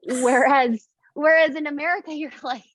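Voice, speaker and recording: A woman's voice: high-pitched, steady tone, moderate pace, fairly bright tone, no room sound. A woman in her thirties from Indonesia, talking in a reflective, casual way with many fillers and restarts. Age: 30s. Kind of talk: casual conversation.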